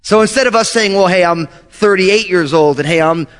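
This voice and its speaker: A man's voice: high-pitched, brisk, clean tone, big-room sound. A man in his thirties, a pastor preaching a sermon in an earnest way, repeating key phrases for emphasis. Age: 30s.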